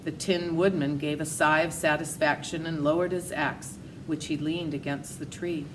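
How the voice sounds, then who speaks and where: A woman's voice: low voice, steady tone, moderate pace, noisy background. A woman reading a children's story aloud as its narrator. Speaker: storybook reader.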